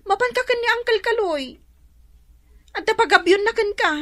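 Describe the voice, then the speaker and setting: An actress playing a weary, reproachful young wife in a radio drama, plaintive and nagging. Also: female voice, very high voice, moderate pace, fairly bright tone, no room sound, studio quality.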